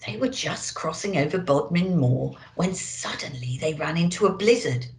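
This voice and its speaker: A woman speaking as a children's storyteller, expressive and deliberate, as if to young listeners. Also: low-pitched. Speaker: storyteller in character as a Christmas elf. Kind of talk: children's storybook reading and festive address. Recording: echoey room.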